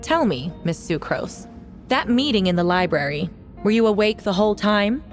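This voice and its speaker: A woman playing a formal, measured prosecutor in a fantasy game courtroom scene. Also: mid-range voice, animated, soft background music, natural voice. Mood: measured, pressing. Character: composed, formal female prosecutor in a fantasy trial. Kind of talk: scripted fantasy game cutscene acting.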